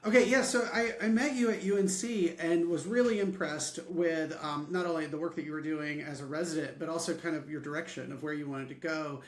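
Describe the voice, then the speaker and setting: A man's voice: on the high side, steady tone, fairly quick, hardly any pauses, reverberant. A male podcast co-host bantering casually, rambling and joking with lots of fillers.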